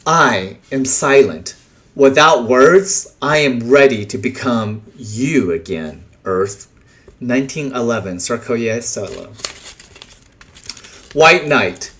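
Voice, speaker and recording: A man reading translated poems aloud in a deliberate way, pausing between short phrases. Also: medium pitch, expressive, a bit bright. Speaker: poetry reader.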